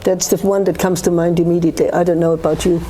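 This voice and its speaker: A woman's voice: lowish voice, flat delivery, fast talker, dark tone. A woman from Australia, a guest author talking earnestly about her work in an interview. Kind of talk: conversational interview answers.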